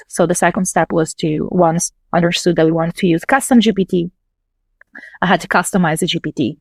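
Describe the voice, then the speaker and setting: A woman's voice: lowish voice, gravelly, dry recording, studio quality. A woman whose first language is Italian, a podcast guest explaining her work step by step in a casual, thoughtful way. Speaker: podcast guest, localization manager. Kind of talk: casual interview talk.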